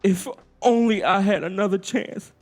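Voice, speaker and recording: A man's voice: sits high, moderate pace, a little mellow, soft background music, pitched above the performer's own voice, close to an everyday voice. A man voicing an earnest, remorseful father in a children's storybook, with a plaintive, emotional delivery. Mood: sad, regretful. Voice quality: raised, plaintive tone. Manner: pleading, emotional.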